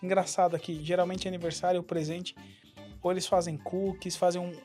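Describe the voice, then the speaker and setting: A man from Brazil co-hosting a casual podcast, talking in a relaxed, agreeable way. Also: sits high, a little fast, faint music behind. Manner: relaxed, agreeable, leans on "cara" and "né". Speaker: podcast co-host. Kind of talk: casual chat.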